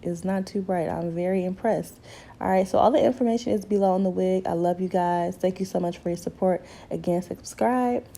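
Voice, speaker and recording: A young woman in her twenties, hoarse from a cold, chatting casually through a hair tutorial and calling her viewers ladies and honey. She is recorded in a small bedroom on the camera's own mic. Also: fairly low pitch, a little fast, dark tone. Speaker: beauty vlogger. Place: small bedroom at home, indoors. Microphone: camera mic, seated close in front.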